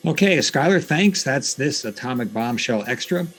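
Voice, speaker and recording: A man hosting a podcast, telling stories from notes in a conversational, somewhat halting way. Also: medium pitch, lively intonation, soft background music. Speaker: podcast host. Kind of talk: storytelling narration from notes, conversational.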